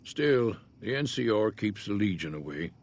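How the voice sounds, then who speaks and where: An actor playing an easygoing older American prospector in a Western-style video game, folksy and laconic in manner. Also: male voice, fairly low pitch, animated, normal speed. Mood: measured, wary. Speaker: game character, townsfolk prospector. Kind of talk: video game character dialogue.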